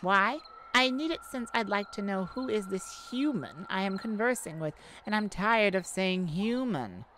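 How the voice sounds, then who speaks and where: A woman's voice: medium pitch, lively intonation, a bit bright, a little hiss or hum. A woman playing a haughty yet kindly goddess of light in an ASMR audio roleplay, theatrical and teasing. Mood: curious, lofty. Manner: theatrical, teasing, mock-offended, with pauses.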